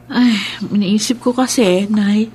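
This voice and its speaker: A woman's voice: mid-range voice, slow pace, dark tone, husky. An actress playing a young woman troubled by a ghost, speaking in a worried, pleading way in a radio drama.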